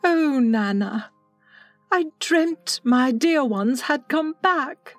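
Guy doing a sad sweet mum, high soft voice, slow and pleading, dry close sound. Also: lively intonation, no room sound, soft background music, exaggerated voice. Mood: longing. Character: gentle, wistful mother, grieving for her children. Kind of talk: audiobook character voice.

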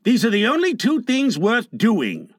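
Man playing an eager, high-voiced young boy. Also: big pitch swings, slow pace, fairly bright tone, dry recording, full character voice. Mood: bossy, grumbling. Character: eager, questioning young boy follower, loyal, grumbly.

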